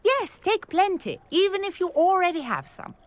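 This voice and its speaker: A woman's voice: extremely high pitch, sing-song, normal speed, a little hiss or hum. A woman voicing an eager, chatty witch archaeologist in a video game, prone to exclaiming in wonder. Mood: encouraging. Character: excitable scholarly witch, archaeologist obsessed with Merlin.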